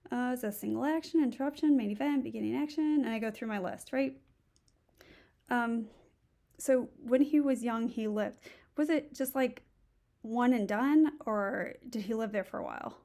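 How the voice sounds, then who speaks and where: A woman's voice: a little high, moderate pace, vocal fry, mellow. A middle-aged woman teaching Spanish, a native English speaker, who thinks aloud and walks through each step of a recorded screen lesson. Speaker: Spanish instructor.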